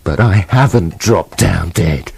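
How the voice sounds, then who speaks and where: A man's voice: deep voice, slow, gravelly, darkish tone, slightly rough, heavily acted. An actor playing a talking wolf in a radio play, a plain-speaking, aggrieved family man who questions and argues colloquially. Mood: pained, aggrieved.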